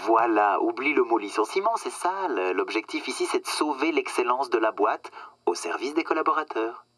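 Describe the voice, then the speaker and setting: An actor playing a smug, cynical corporate boss in a satirical radio drama, slick and condescending, coaching with emphatic pauses. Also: male voice, medium pitch, big pitch swings, a bit bright, dry recording. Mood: instructive.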